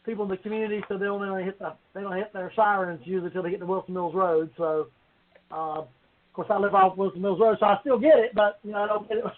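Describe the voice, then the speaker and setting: A man speaking as a council member, making informal, rambling remarks in a budget meeting that circle back to the point. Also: fairly high pitch. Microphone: conference call. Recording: thin, phone-like conference call.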